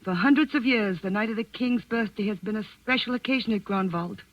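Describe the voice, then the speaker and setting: An actress playing a shrewd, poised Danish countess in a 1940s radio drama, measured and courteous with dry irony. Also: female voice, medium pitch, close to an everyday voice. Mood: measured, knowing. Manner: measured, courteous, dryly ironic. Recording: dull vintage radio broadcast.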